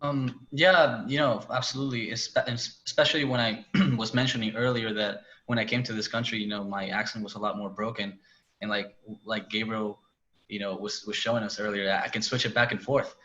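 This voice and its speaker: A man from Spain with a Spanish accent speaks on a video call that sounds dull and lo-fi. His voice sits low but carries a bright, forceful edge. He talks in halting bursts, often restarting and filling gaps with "you know.". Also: steady tone. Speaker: panelist. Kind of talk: reflective town hall discussion.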